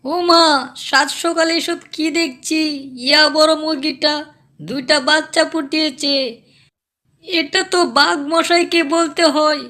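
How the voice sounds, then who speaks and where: A woman voicing a fussy, excitable tiger's wife in an animated cartoon. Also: sits high, measured pace, long pauses. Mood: excited calling. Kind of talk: animated storybook cartoon dialogue.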